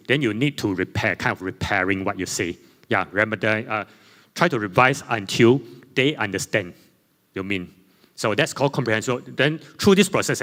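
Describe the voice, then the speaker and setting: A man presenting slides at a conference panel, speaking English with Chinese as his first language, in a halting way full of fillers and self-corrections. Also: fairly low pitch, a bit bright. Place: conference hall. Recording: long reverb in a conference hall.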